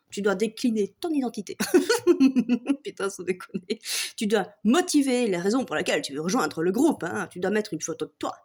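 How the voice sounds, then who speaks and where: A woman's voice: a little high, a little mellow, slightly rough. Belgian woman ranting with a laugh. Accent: Belgian French. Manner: swears a lot, laughs often, mocking. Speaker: vlogger. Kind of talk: casual comic rant to camera.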